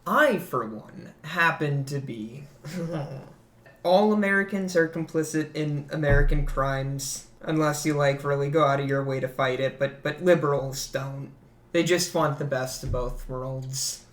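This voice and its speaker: A young man in his twenties with a low voice, co-hosting a casual podcast chat, rambling and self-mocking. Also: a little slow, fairly bright tone. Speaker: podcast co-host. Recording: roomy echo.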